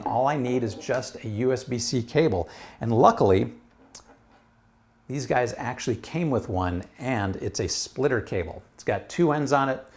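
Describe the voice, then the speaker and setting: Low-voiced man reviewing gear casually. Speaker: product reviewer.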